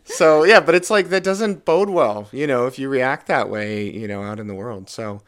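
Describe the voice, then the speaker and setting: A man's voice: medium pitch, normal speed, a bit bright, no room sound. Thoughtful middle-aged man, dry close sound. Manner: thoughtful, hesitant, many fillers. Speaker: guest, the host's father. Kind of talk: casual conversation.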